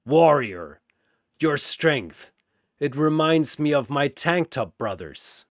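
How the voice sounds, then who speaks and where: A noble, unbreakable strongman hero voiced by a man from a battle tale. He declaims earnestly and loyally at a slow pace, with lively pitch movement. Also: no echo, studio quality, exaggerated voice. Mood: warm camaraderie.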